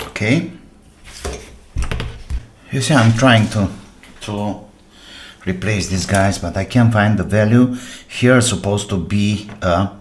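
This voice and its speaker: A man casually narrating a workbench repair, half muttering to himself in short phrases with pauses between them. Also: low voice, a little hiss or hum. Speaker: electronics repair host.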